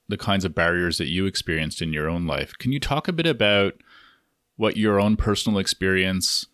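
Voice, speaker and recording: Deep-voiced guy hosting a podcast, dry close mic, calm thoughtful questions. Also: no room sound. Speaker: podcast host. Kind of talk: interview podcast.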